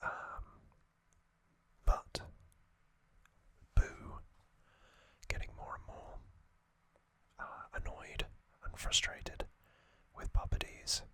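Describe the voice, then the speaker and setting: A man whispering trivia as an ASMR host into a close microphone, softly, with frequent ums and uhs. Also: very slow, long pauses. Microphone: close sensitive mic.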